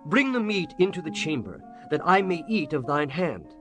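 An actor playing a lovesick, demanding young prince in a dramatized audio Bible, speaking in imperious, archaic phrases. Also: male voice, on the high side, expressive, moderate pace, music in the background, lightly acted. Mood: yearning. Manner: imperious, archaic formal speech.

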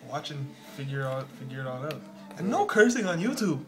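A man's voice: a little high, big pitch swings, faint music behind. A young man from Toronto with a Toronto accent, chatting and teasing a friend in a vlog filmed on a phone in a small bedroom. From Canada. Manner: teasing, joking, talks fast in bursts. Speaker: vlogger. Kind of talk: casual chat with a friend. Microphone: phone camera at arm's length.

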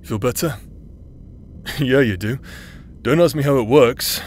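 A man's voice: medium pitch, slow, darkish tone, a little hiss or hum, natural voice. A man playing a cocky but caring rogue in an audio roleplay, talking in a casual, teasing, easygoing way. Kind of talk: scripted audio roleplay acting.

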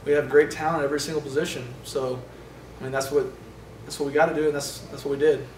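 Young man answering, halting and flat. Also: a little high. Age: young adult. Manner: halting, many fillers and restarts. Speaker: college quarterback. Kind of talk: postgame press conference answers. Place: indoor press room in front of a sponsor backdrop. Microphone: table microphones from a short distance. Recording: roomy, distant sound with faint noise.

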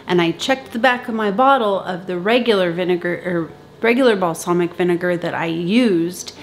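A woman in her thirties talking to camera in her home kitchen, giving chatty, brisk canning instructions. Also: on the low side, a little hiss or hum. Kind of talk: casual how-to talk to camera. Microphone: camera at close range.

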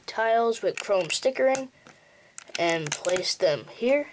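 A man's voice: high-pitched, lively intonation, noisy background. A young male host giving a plain, step-by-step walkthrough for building a toy model. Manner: slow, plain instructional walkthrough. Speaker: tutorial host.

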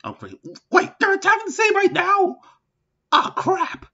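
A man's voice: very high voice, big pitch swings, moderate pace, gravelly, pushed and bright, muffled recording, full character voice. A man playing a reluctant, offhand president in an improvised comedy parody dub, speaking in a casual, hesitant way. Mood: flustered.

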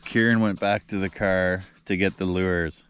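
A man's voice: low voice, fairly even intonation, moderate pace, a bit bright, smooth. A man hosting a vlog outdoors on a frozen lake, chatting casually, teasing his friend and laughing often. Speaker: vlog host.

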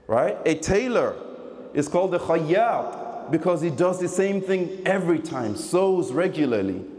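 A man delivering a Friday sermon in a deliberate, emphatic way into a stand microphone in a large mosque. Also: high voice, a little mellow. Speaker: preacher giving a Friday sermon. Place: large mosque prayer hall, indoors. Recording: echoey hall with long reverb.

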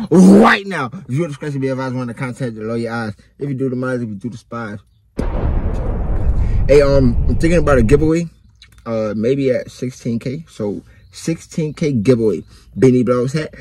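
A man's voice: mid-range voice, a little mellow, a little hiss or hum. An American man hosting a reaction video, talking casually and with hype, repeating himself and speaking straight to viewers in slang. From United States. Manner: hyped, repetitive, slangy, talks straight to viewers.

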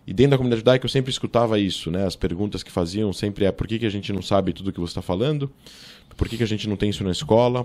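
Deep-voiced Brazilian man telling his story. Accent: Brazilian Portuguese, São Paulo. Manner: fast, animated storytelling with many fillers and self-corrections. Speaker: guest, political scientist. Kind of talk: casual interview, storytelling. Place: small studio with table and monitor. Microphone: boom-arm studio mic.

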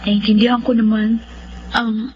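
A woman's voice: fairly high pitch, flat delivery, unhurried, lightly acted. An actress playing a sweet, shy young provincial woman in a Filipino radio drama, polite and hesitant. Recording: muffled, noisy radio drama recording.

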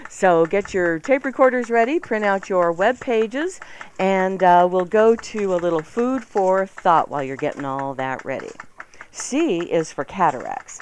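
A woman's voice: lowish voice, a little mellow, a little hiss or hum. A woman hosting a live radio show in a playful, chatty manner. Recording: music bed behind 1990s radio broadcast. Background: music.

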